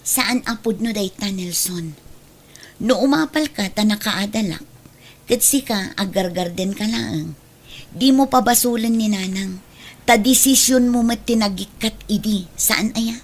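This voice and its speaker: A woman's voice: medium pitch, a little slow. A woman playing a caring but reproving elder sister in an audio drama, earnest and admonishing, with an Ilocano accent. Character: caring, reproving elder sister who defends her mother.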